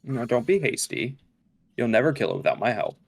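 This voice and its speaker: A man reading the part of a cold, calculating, damaged android in a script table read, measured and precise. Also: medium pitch, very animated, vocal fry, husky, exaggerated voice. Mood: calm, persuasive.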